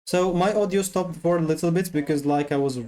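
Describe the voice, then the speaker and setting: A presenter giving a casual, step-by-step software tutorial, repeating phrases while explaining. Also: medium pitch, fairly quick.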